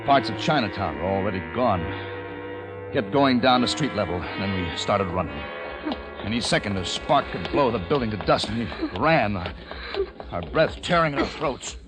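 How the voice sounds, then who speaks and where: A man acting a grave, resolute plague survivor in an old time radio drama, with solemn, deliberate, dramatic delivery. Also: lowish voice, animated, over loud music, natural voice, poor audio. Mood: grave narrating. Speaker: radio drama lead actor and narrator. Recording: vintage radio drama with musical underscore.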